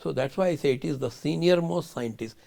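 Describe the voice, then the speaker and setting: A man's voice: a little high, a little fast, creaky, warm tone. An older man, a guest scientist in a studio interview, arguing emphatically and asking rhetorical questions.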